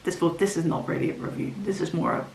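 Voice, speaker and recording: A woman's voice: on the low side, lively intonation, fast, creaky, a little mellow, a little hiss or hum. Rambling woman. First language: Dutch. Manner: rambling, frequent ums and restarts. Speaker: book vlogger. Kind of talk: casual book talk to camera. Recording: roomy echo, faint ambience.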